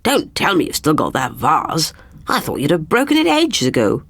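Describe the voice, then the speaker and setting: A woman voicing a pompous, teasing upper-crust older gentleman in a storybook tale, condescending in manner. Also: sits low, wildly swooping pitch, moderate pace, breathy edge, dry recording, exaggerated voice.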